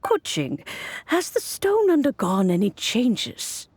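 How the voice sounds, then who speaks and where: An actress playing a wise, kindly ancient female guardian in a fantasy game, speaking in a gentle, measured, formal and reflective way. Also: a little high, wildly swooping pitch, breathy edge, exaggerated voice. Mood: encouraging. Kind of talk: scripted fantasy game dialogue.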